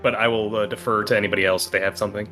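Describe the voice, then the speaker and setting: Bright-voiced man chatting at a game table. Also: on the low side, little pitch movement, very fast, faint music behind. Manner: informal, freely swearing. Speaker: player in a tabletop role-playing game. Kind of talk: casual game-table chat.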